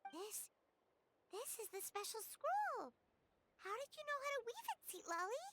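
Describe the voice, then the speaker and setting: Video game fairy sidekick, small excitable girl, extremely high and animated, inquisitive. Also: measured pace, heavily acted. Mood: curious. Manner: exclaiming, inquisitive, expressive.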